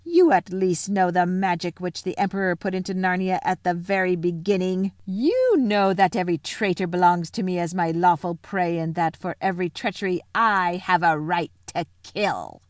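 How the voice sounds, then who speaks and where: Shrill, imperious witch queen, acted by a woman. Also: animated, normal speed, hardly any pauses, dry recording, studio quality, lightly acted. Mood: shrill fury. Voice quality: shrill, snarling. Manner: haughty, menacing, declamatory. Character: cold imperious witch queen, savage, shrill when angered. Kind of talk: audiobook fantasy character voice.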